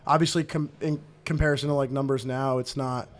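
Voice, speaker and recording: A man in his twenties from New Jersey, a guest on a podcast, rambling quickly in casual chat and filling his sentences with "like.". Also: medium pitch, little pitch movement. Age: 20s. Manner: rapid, rambling, heavy use of "like".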